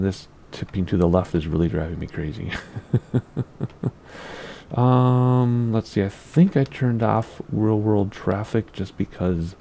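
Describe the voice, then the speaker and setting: Gravelly low-voiced man, allergy-scratchy. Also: warm tone. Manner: rambling, easily distracted, self-deprecating, laughs at himself. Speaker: flight sim gameplay host. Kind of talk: casual gameplay commentary.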